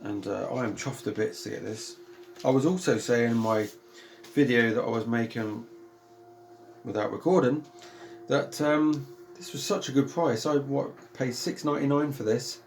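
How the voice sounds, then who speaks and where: A British man hosting a casual record unboxing in a calm, soothing voice with a gravelly edge. He rambles along at a middling pitch, stopping to correct himself and filling the gaps with ums. A faint hiss sits under his voice. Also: normal speed. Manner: rambling and chatty, with many ums and self-corrections. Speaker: vinyl collector and channel host.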